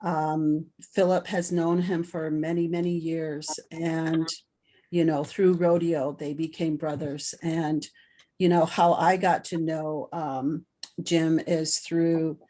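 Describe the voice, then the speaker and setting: Woman host introducing guests, mellow and low, unhurried, soft-spoken. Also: flat delivery. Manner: soft-spoken, gently halting with ums. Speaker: event host. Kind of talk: welcoming introductions.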